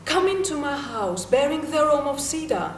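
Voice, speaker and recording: A woman acts out a proud goddess, coaxing and seductive, declaiming grand promises in a high voice. She sounds set back from the mic in an echoey room with faint ambience behind. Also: big pitch swings, a little fast, far from the mic, a little hiss or hum. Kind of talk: theatrical dramatic reading.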